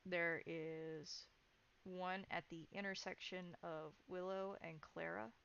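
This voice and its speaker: A woman narrates a tabletop horror game as its game master. Her voice sits on the low side with plenty of gravelly fry, and the recording is a little dull. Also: steady tone, slow, a bit bright.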